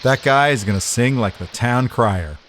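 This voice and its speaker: A man's voice: mid-range voice, moderate pace, very noisy, lightly acted. A man playing a cocky, wisecracking older wise guy in a noir audio drama, teasing and cocksure. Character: cocky, wisecracking aging gangster-era man, charming ex-husband. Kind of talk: hardboiled noir radio drama acting.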